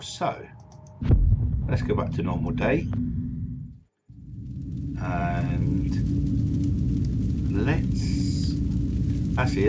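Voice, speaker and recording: A man's voice: fairly low pitch, background noise. A man giving casual gameplay commentary, chatting informally and thinking aloud as he goes. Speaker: let's play gamer. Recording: dull lo-fi mic, loud music behind.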